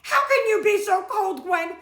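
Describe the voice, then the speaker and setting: Comedy skit: female performer as hysterical, indignant middle-aged mom, high and bright, whiny pleading, echoey room. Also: animated, moderate pace. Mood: panicked, defensive.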